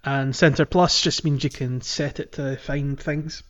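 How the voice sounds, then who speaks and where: A man's voice: mid-range voice, steady tone, measured pace, fairly bright tone. Man hosting a podcast, slightly muffled sound. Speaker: podcast host. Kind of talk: casual video game podcast chat.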